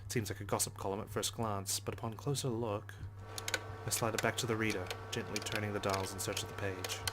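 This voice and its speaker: A man's voice: lowish voice, steady tone, a little fast, very noisy. A man reading a visual novel aloud as a let's play host, narrating expressively with casual asides. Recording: game music behind the voice.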